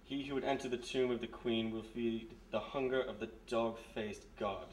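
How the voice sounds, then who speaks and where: A man with a British accent playing a dry, genteel gentleman in a radio mystery. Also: mid-range voice, steady tone, normal speed, natural voice. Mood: dry, informative. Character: dry, well-bred British male gentleman companion. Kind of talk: live 1940s-style radio mystery acting. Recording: noisy echoey hall, distant stage mic.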